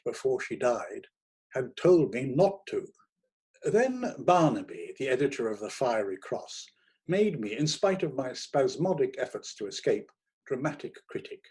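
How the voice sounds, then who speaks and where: A man reading an audiobook in the role of a troubled, rambling young dramatic critic, theatrical and hesitant, swinging from hushed to emphatic. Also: medium pitch, husky. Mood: reminiscing.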